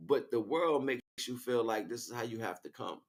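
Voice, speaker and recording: A man's voice: mid-range voice, expressive, normal speed. A man livestreaming a casual, rambling spiritual monologue in street slang, often checking in with rhetorical questions. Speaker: livestream host.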